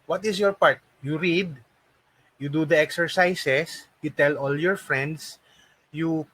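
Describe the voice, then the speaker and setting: A man's voice: a little high, a bit bright, no room sound, low-quality recording. A man with a Filipino accent teaching a live online lesson, repeating his points and asking questions to encourage learners. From Philippines. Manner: repeats phrases and asks rhetorical questions, encouraging. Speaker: online English and call center trainer.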